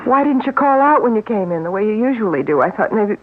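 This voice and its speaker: A nervous wife, breathy voice, tinny phone-like old radio sound. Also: female voice, mid-range voice, very animated, fast. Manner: nervous, hesitant. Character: anxious wife Helen. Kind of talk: 1970s radio drama acting.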